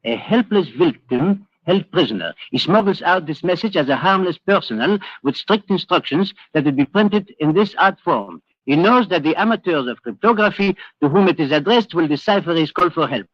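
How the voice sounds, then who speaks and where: Theatrical French gentleman, old radio. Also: a little high, animated, normal speed, few pauses. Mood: intrigued. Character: genial, sceptical French gentleman visiting London. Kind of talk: 1940s radio acting. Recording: dull, echoey old radio broadcast.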